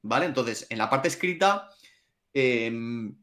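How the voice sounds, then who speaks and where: A man's voice: medium pitch, lively intonation, slow pace, a bit bright. A man with a Spanish accent from Spain, a finance exam trainer, answering interview questions in a frank, colloquial way with mild swearing. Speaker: CFA trainer and academy director.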